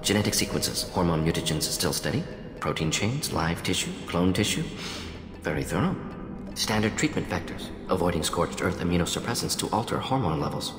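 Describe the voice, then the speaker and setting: Game role: clinical, opinionated alien scientist. Low register, terse analytical fragments, heavy hall reverb. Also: male voice, animated, a little fast, fairly bright tone. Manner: clipped telegraphic sentences, dropping small words, analytical. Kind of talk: video game character acting. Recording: echoey hall reverb, music behind. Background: game music.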